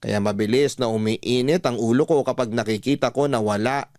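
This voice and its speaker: Male narrator for radio love stories, Filipino accent, medium pitch, deliberate delivery, close dry recording. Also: darkish tone, no echo. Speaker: radio-style story narrator. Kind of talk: first-person dramatic storytelling, reading a letter. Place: dry studio, music behind.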